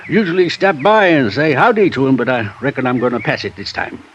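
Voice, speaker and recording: A man's voice: medium pitch, a little fast, a bit bright, slight background noise, close to an everyday voice. Actor as drawling old country man, muffled radio. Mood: folksy, chatty. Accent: rural American. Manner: drawling, folksy, rambling. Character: folksy older male rock-moving prospector, plain-spoken. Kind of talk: 1950s radio drama acting.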